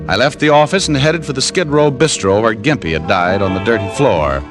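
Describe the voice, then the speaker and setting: An actor playing a wry, cool-headed American private detective in an old-time radio drama, casual and flip. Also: male voice, medium pitch, lively intonation, fairly quick, over music, natural voice. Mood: dry narration. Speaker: lead detective and narrator.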